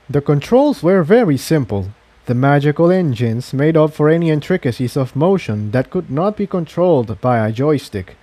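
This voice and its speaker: Mellow, animated male storyteller. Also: mid-range voice, dry recording. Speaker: fan fiction narrator. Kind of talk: dramatic scripted audiobook narration.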